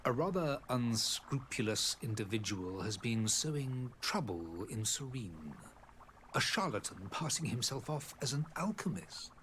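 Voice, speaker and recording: A man's voice: medium pitch, big pitch swings, a bit bright, slight background noise. An actor playing a gracious, grave older male ambassador in a video game, with formal, florid phrasing. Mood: grave, indignant. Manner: formal, florid, hesitant pauses. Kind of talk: scripted video game dialogue.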